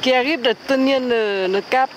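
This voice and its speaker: A man filming from a boat at sea, chatting haltingly as he comments on children sailing. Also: extremely high pitch. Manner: chatty, halting, thinks aloud. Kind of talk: casual commentary while filming. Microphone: handheld camera mic. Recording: loud wind and water noise.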